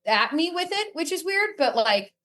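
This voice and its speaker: A woman chats casually as a podcast guest. Her voice is fairly high and very animated, swinging freely in pitch. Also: moderate pace. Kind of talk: casual chat.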